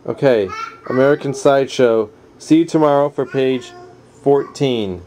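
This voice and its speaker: A man's voice: medium pitch, a little slow, warm tone. A man reading a nonfiction book aloud in an informal, easygoing way, dropping in casual asides. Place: at home, household around. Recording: noisy home camera recording.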